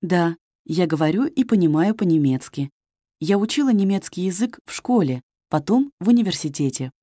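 A woman's voice: on the low side, lively intonation, moderate pace, dark tone, dry recording, clean studio sound. A woman playing a friendly journalist in a scripted textbook dialogue, reading evenly and carefully. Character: adult female journalist, friendly and polite. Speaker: dialogue actor in a listening test.

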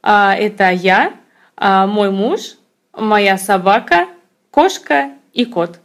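A woman in her twenties introduces herself in a relaxed, conversational way. She pauses now and then with filler sounds, and her pitch stays in a middle range. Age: 20s. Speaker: language teacher introducing herself. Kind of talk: spoken self-introduction.

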